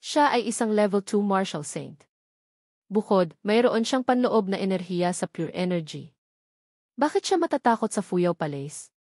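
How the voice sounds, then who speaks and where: A woman's voice: medium pitch, lively intonation, moderate pace, darkish tone, dry recording, clean studio sound. A woman reading scripted fantasy novel narration as an audiobook narrator.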